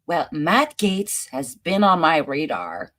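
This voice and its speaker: A woman's voice: low voice, very animated, takes it slowly, a bit bright. A woman with a French accent, hosting a casual commentary show and chatting playfully about news clips. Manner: chatty, playful, laughs and muses aloud. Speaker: host.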